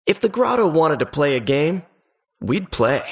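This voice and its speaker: Husky, dramatic adventure narrator. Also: male voice, on the high side, big pitch swings, a little slow, exaggerated voice. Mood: solemn suspense. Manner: suspenseful, theatrical, wistful storyteller. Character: adventurer recalling childhood dungeon exploits, earnest and dramatic. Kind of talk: dramatic storybook narration for a video game.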